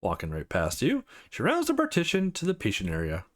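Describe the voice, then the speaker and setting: A man's voice: mid-range voice, wildly swooping pitch, a little fast. A man hosting a gaming channel, narrating and reading a visual novel aloud in an informal way.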